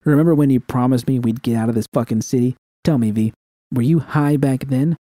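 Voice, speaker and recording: A man's voice: mid-range voice, fairly quick, warm tone, no room sound, pitched above the performer's own voice, lightly acted. A man voicing a hot-tempered woman technician in dramatic audio fiction, speaking with cutting, emotional delivery. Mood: bitter, cutting. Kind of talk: dramatic first-person audio fiction dialogue.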